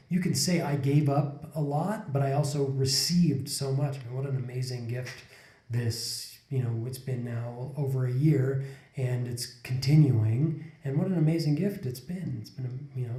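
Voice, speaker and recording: A man in his forties talks through his thoughts on a podcast, circling back and restarting sentences as he goes. His voice is mellow and a little dark, and the indoor room adds a noticeable roomy echo around the desk mic. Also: medium pitch, moderate pace. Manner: reflective, rambling with many restarts. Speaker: podcast co-host. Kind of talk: casual conversation. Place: indoor room, sitting on a couch. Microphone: desk condenser mic in front of couple.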